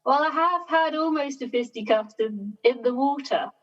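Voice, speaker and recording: A woman being interviewed on a sailing podcast, talking in a measured, self-deprecating way and laughing often. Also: high-pitched. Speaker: sailing guest. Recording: dull lo-fi sound.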